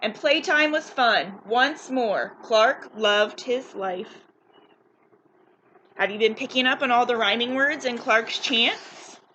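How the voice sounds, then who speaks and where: A woman's voice: fairly high pitch, slow pace, pushed and bright, lo-fi sound, slight background noise. A woman narrating a children's storybook aloud, telling it expressively and putting questions to her young listeners.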